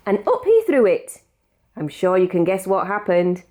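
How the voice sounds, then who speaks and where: A woman with a British accent reading a children's picture book aloud in an expressive, measured storytelling manner. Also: mid-range voice. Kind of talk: read-aloud storybook narration.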